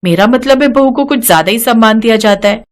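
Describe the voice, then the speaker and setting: Female narrator playing young newlywed bride, high voice, curious, earnest, questioning, cartoon storytelling. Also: a little fast, close to an everyday voice. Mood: puzzled, curious.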